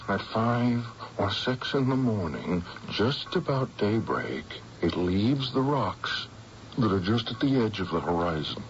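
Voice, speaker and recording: Slow, haunted, weary man. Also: lowish voice, sing-song. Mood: brooding. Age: 40s. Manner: halting, brooding, dramatic. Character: haunted, weary forty-year-old man, convinced he is doomed. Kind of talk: radio drama acting. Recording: dull, slightly noisy vintage radio recording.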